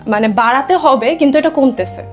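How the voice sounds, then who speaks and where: High-voiced young Bangladeshi woman in discussion. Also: fairly quick. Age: 20s. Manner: mixes English phrases into speech, emphatic with tag questions. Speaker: interview guest. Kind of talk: conversational discussion. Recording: music behind the voice.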